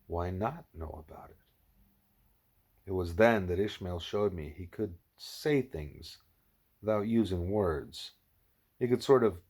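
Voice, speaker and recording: A man's voice: low-pitched, darkish tone. A man reading a first-person story as scripted audiobook narration. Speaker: audiobook reader.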